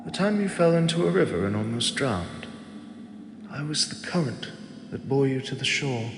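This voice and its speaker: A man voicing an ancient male god who speaks softly and slowly, fond and gently teasing, as if to a mortal he protects. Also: medium pitch, expressive, noisy background, natural voice. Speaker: voice actor. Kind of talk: audio drama monologue. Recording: echoey with long reverb and clear ambience.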